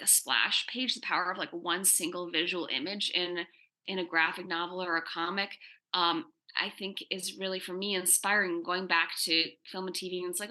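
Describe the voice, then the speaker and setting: A woman with a slightly low, extremely bright and sharp-edged voice talks as a writer on a panel. She is earnest and emphatic, in a casual conversational style. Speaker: comics and screen writer answering questions in a panel.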